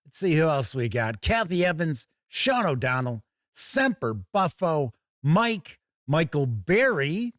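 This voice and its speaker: Hoarse, upbeat male stream host. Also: fairly high pitch, big pitch swings, slow pace, few pauses, a bit bright, no echo, clean studio sound. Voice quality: slightly raspy. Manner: upbeat, folksy greetings, chatty. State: hoarse, under the weather. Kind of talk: casual live-stream chat. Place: home studio.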